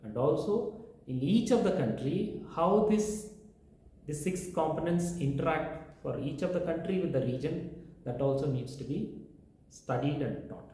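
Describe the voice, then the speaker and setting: A man's voice: fairly high pitch, animated, unhurried, mellow. Lecturing man in a very echoey room. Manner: lecturing, listing points one by one. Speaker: professor. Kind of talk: explaining teaching methods in an interview.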